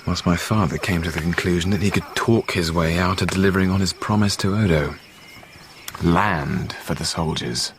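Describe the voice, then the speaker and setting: A British actor playing a weary, reflective deposed Roman emperor in a radio drama, speaking in a measured way. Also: male voice, low-pitched, a little hiss or hum. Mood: reflective, resigned.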